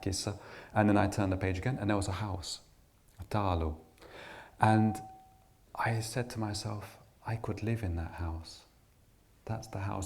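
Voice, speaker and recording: A man's voice: low voice, creaky, a little mellow. A middle-aged man from England giving a reflective personal talk to an audience, often restarting his sentences. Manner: reflective, with frequent restarts and fillers. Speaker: invited speaker.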